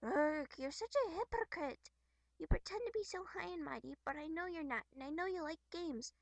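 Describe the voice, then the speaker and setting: Extremely high voice, catlike troll girl, childlike, excitable, teasing, cartoon-style character. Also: moderate pace, no echo. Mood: teasing indignation.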